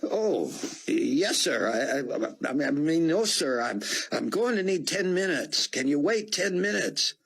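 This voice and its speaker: A man's voice: a little high, sing-song, a little slow, gravelly, hardly any pauses, a bit bright, breathy edge, voice pushed up, exaggerated voice. A man doing an eager young journalist. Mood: flustered. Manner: earnest, respectful.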